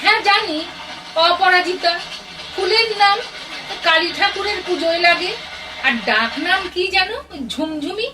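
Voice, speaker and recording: Radio play mother: actress as older woman, very high-pitched, plain-spoken, coaxing and fond, heard off-mic. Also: measured pace, pauses often. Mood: teasing, puzzled. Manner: motherly scolding and coaxing in short colloquial bursts. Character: affectionate, teasing, plain-spoken older mother of a grown son. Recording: distant mic, very noisy audio.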